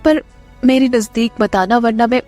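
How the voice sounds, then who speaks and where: A woman's voice: a little high, steady tone, normal speed, a little mellow, faint music behind, voice pushed up, natural voice. A woman voicing an anguished, defiant young captive bride in a romance audio drama, emotional and pleading. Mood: defiant, hurt.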